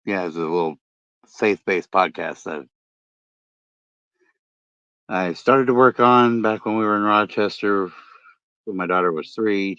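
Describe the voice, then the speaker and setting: A middle-aged man with a deep voice, chatting casually late at night and rambling with many fillers and restarts. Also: fairly bright tone, no room sound. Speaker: podcast host and ham radio vlogger. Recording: dull lo-fi dry sound.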